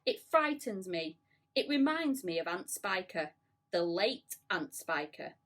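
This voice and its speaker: A woman's voice: lowish voice, sing-song, measured pace, fairly bright tone, exaggerated voice. A woman voicing a melodramatic female spider in a storybook, mournful and emphatic as she recalls sad memories. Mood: dramatic, mournful.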